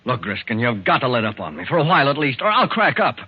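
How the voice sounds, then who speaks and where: A man's voice: medium pitch, sing-song, quick pace, slightly rough. An actor playing a thin, nervous, guilt-ridden man in a 1940s radio mystery, anguished and pleading. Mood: fearful, haunted. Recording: narrow-band scratchy 1940s radio recording.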